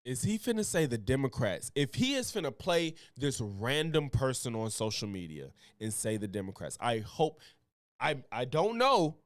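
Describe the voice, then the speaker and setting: A man's voice: mid-range voice, very animated, normal speed, dry recording, studio quality. A man doing live reaction commentary in a heated, profane, slangy manner. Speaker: political commentary streamer.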